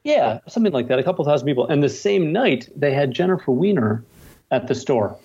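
A man's voice: on the high side, sing-song, brisk, a little mellow, husky. Self-deprecating middle-aged man, slightly muffled audio. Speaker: novelist guest. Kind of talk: casual interview chat with anecdotes.

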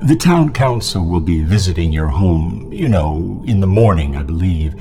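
An actor playing a creepy, menacing male town elder who speaks in a slow, insinuating way. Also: sits low, animated, dark tone, slight background noise.

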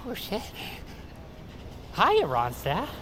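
An actor playing a wisecracking ghost sidekick in an audio drama, with a folksy, slangy, theatrical way of talking. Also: male voice, sits high, huge pitch swings, extremely slow, heavily acted. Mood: surprised, folksy. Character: wisecracking ghost sidekick, folksy, eerie male street buddy. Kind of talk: audio fiction acting. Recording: loud music under the voice.

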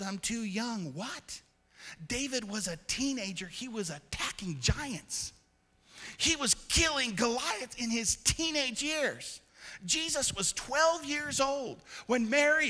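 A man in his forties preaching a sermon as pastor, with call-and-response asides, swinging from loud urging to soft speech. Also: high voice. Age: 40s.

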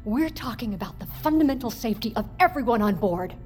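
Combative skeptic woman, played by an actress, animated and high-pitched, audio fiction with light music underneath. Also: fast, natural voice. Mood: indignant. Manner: argumentative, sarcastic, emphatic. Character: combative, skeptical woman marine biologist, indignant and outspoken. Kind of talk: audio fiction drama acting.

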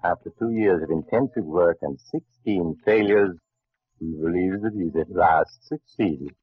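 An actor playing a sinister, courteous doctor who narrates a horror radio drama in a measured, ominous, theatrical way. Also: male voice, low-pitched, breathy edge. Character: sinister, courteous doctor host reading a secret journal. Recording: muffled old radio recording with music.

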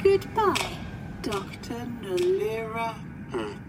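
A woman's voice: mid-range voice, huge pitch swings, slow, full character voice. A woman voicing a formal, literal-minded, unflappable medical computer in a science fiction audio drama. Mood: procedural, insistent. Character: polite, literal-minded female medical ward computer assistant. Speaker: actor in a fiction podcast. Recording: clear background ambient noise.

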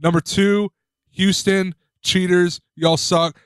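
A man's voice: high voice, flat delivery, slow, clear, resonant tone, no room sound, clean studio sound. A young man in a casual sports chat, bluntly listing teams he hates.

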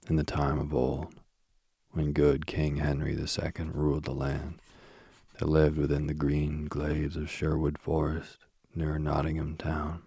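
A man hosting a sleep podcast and reading a bedtime story softly, slowly and soothingly, while he is losing his voice. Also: extremely low pitch, husky, no echo, studio quality. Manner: slow, gentle and soothing. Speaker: sleep podcast host and reader. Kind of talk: soft bedtime story narration. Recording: faint music behind.

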